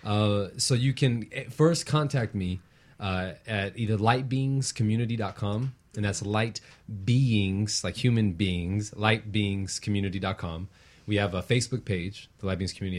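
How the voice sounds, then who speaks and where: A man with a slightly low voice talks as a guest on a show. He explains things in a casual, conversational way, as a workshop facilitator would.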